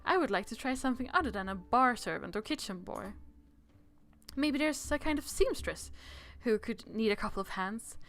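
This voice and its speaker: Woman as a gentle, high-voiced young prince. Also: animated, moderate pace. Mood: eager. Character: gentle, eager young fairy tale prince.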